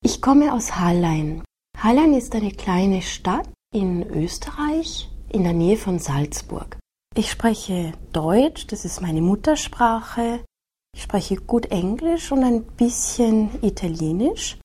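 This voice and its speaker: A woman, a teacher reading a short scripted self-introduction in a slow, measured, soft-spoken way. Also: medium pitch, big pitch swings, a little hiss or hum. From Austria. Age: 30s. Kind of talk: scripted monologue for a listening exercise.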